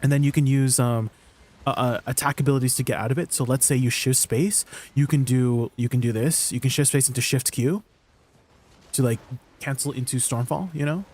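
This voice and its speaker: A man raised in Canada with Tagalog as his first language, chatting on a live game stream. His mid-range voice is relaxed and laughs often. He works through gameplay tips with lots of ums and restarts. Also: medium pitch, fairly quick.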